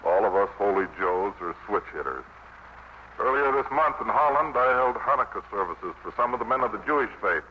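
An actor playing a down-to-earth, earnest American army chaplain preaching informally in a 1950s radio drama. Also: male voice, lowish voice, expressive, brisk, close to an everyday voice. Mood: brisk, friendly. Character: plainspoken, folksy American army chaplain, steadfast. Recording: muffled, noisy vintage radio broadcast.

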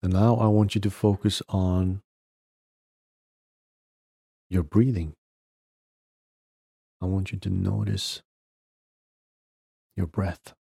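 A man leading a guided meditation, giving gentle step-by-step instructions in short phrases with long pauses between them. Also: sits low, flat delivery, gravelly, husky, dry recording, clean studio sound. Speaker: podcast host guiding a meditation.

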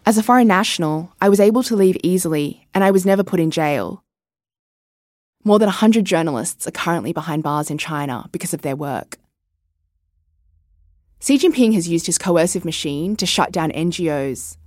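Female correspondent host, 30s; scripted, reflective narration, low pitch with lively intonation. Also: no room sound, studio quality. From Australia. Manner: measured, reflective.

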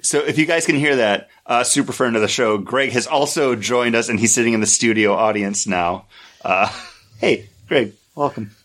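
A man's voice: medium pitch, normal speed, fairly bright tone. A man co-hosting a podcast, chatting casually and laughing often. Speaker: podcast co-host. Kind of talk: casual chat.

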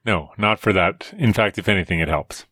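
A man's voice: deep voice, moderate pace, pushed and bright, slightly rough, no echo. A man, a scientist guest on a podcast, chatting casually with dry wit and rambling stories over a remote call. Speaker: guest, evolutionary biologist. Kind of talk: casual chat.

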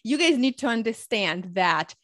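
A woman from Russia, raised in Ukraine, speaking English as a podcast guest. She talks in an energetic, chatty way, laughing often and explaining through examples. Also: fairly high pitch, normal speed, no room sound, studio quality. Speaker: guest, public speaking coach. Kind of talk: conversational podcast interview.

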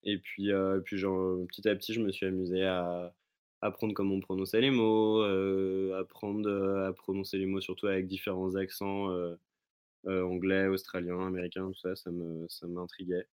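A man's voice: sits low, monotone, normal speed, a little mellow, smooth. A young man chatting as a guest over a remote call, rambling with many fillers and restarts. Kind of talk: casual remote chat.

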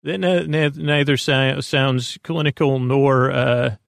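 A man hosting a sleep podcast, rambling haltingly through a meandering bedtime monologue, often stammering and restarting as he wanders into tangents. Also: mid-range voice, fairly even intonation, gravelly, dry recording, studio quality. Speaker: podcast host.

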